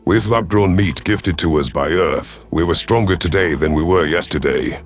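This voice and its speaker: A man reading a dramatic science fiction story as a scripted narration, in a measured, serious manner. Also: sits low. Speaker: audiobook narrator. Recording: music behind the voice.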